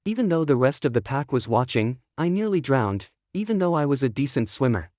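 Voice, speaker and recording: A man's voice: mid-range voice, very animated, brisk, a little mellow, no echo, studio quality. A man reading scripted first-person story narration as the author of a fantasy audiobook.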